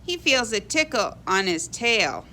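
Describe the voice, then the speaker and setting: A woman reading a children's picture book aloud in an expressive, animated way for young kids. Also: fairly high pitch, a little slow, a little hiss or hum. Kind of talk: expressive read-aloud storybook narration.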